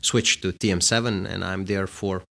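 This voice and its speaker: A man's voice: deep voice, steady tone, normal speed, vocal fry, a bit bright. A middle-aged man from Bosnia, a guest answering interview questions in a casual, hesitant way with many fillers. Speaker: guest, company executive.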